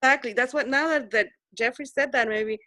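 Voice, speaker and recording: Earnest woman in her forties, bright. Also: high voice, fairly quick, dry recording. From El Salvador. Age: 40s. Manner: earnest, repeats herself for emphasis. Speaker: Zoom meeting participant. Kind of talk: personal story in group video chat. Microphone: video call.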